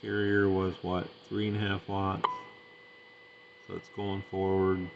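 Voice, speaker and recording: A man's voice: deep voice, monotone, measured pace, gravelly. A man giving a casual, off-the-cuff equipment demonstration, matter-of-fact and thinking aloud as he works. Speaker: radio hobbyist demonstrating and testing equipment. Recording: dull lo-fi sound with faint noise.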